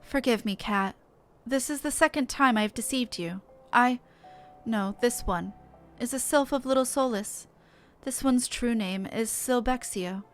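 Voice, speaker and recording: A woman's voice: a little high, a little slow, soft background music, close to an everyday voice. A woman voicing an earnest forest sylph from a fantasy game, speaking in stilted, formal phrases. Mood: solemn, confessional.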